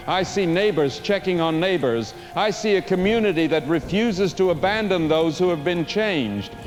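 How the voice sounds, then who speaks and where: A man playing a solemn small-town pastor preaching earnestly and rhetorically to a frightened flock in an audio drama. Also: high-pitched. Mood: hopeful, urging. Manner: earnest, rhetorical, measured oratory.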